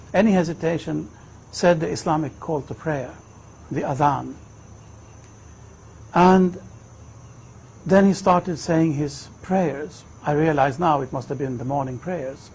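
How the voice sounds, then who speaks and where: A man in his fifties from Sweden, telling his personal story in a reflective, measured way. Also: a little high, gravelly, darkish tone, breathy edge. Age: 50s. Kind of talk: personal testimony, unhurried storytelling.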